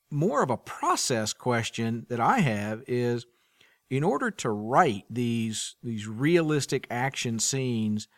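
Slow-paced male interviewer, dry close sound. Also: medium pitch, no echo. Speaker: podcast interviewer. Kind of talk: interview questions.

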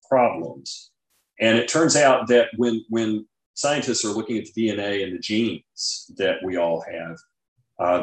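A man being interviewed as a guest author and scientist, explaining things informally and conversationally with everyday examples. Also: lowish voice. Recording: roomy, echoing sound.

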